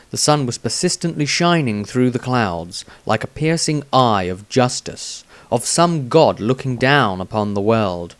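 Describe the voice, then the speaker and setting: A male author narrates a fantasy tale in a medium-pitched voice. His reading is deliberate and dramatic, and the sound is dry with little room to it. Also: no echo. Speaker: author reading own story. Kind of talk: scripted fantasy audiobook narration.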